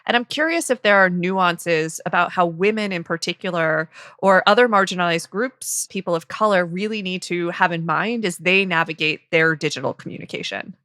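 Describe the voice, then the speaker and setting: Bright-voiced woman host asking questions. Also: lowish voice, normal speed, no echo, studio quality. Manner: curious, conversational, asks in loose phrasing. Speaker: podcast host. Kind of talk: interview questions.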